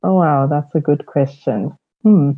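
A woman's voice: sits low, unhurried, dry recording, phone-quality sound. A woman from South Africa answering conversationally as an interview guest on a podcast. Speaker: podcast guest, leadership mentor.

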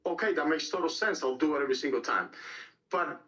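A man's voice: a little high, expressive, fast talker, sharp tone. A man from Cuba, a mixed martial arts fighter telling his story in a podcast interview, blunt and rambling, repeating words and swearing freely. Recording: dull lo-fi sound, distant from mic.

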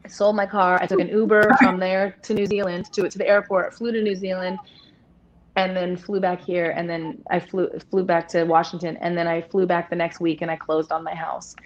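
A woman in her thirties with a deep voice, speaking openly and at length as an interview guest, with many restarts. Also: level tone, normal speed, a little hiss or hum. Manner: open, rambling, restarts often. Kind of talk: candid personal conversation. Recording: dull lo-fi audio.

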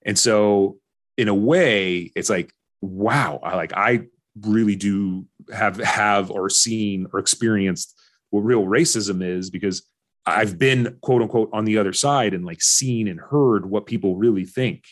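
An American man in his forties telling personal stories candidly as an interview guest, informal and halting, often repeating phrases for emphasis. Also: lowish voice. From Orange County, California. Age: 40s. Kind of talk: candid personal storytelling in conversation.

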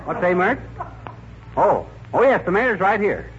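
A grumbly wisecracking radio husband, folksy, kinda high voice that bounces around, 1940s comedy, hissy recording. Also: male voice, very animated, muffled recording, some chatter behind. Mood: chatty. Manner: folksy, wisecracking, quick with wordplay.

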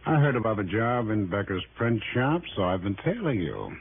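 Mock-courteous radio villain, swooping voice. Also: male voice, fairly low pitch, very animated. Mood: polite menace. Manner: genteel, drawn-out, over-polite phrasing. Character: smooth, mock-courteous male crook, coolly menacing. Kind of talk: 1960s radio drama villain acting. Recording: tinny, noisy early-1960s radio recording.

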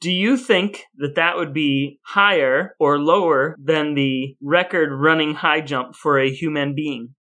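A man's voice: on the high side, unhurried, hardly any pauses, pushed and bright. A man hosting a podcast with casual banter and a playful, mock-serious way of talking. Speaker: podcast host.

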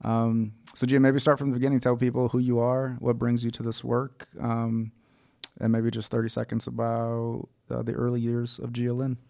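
Host: man, easygoing interviewer, gravelly and flat, dry close sound. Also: medium pitch, moderate pace, no echo. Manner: easygoing, with "um" and "uh" hesitations. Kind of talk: casual interview questions.

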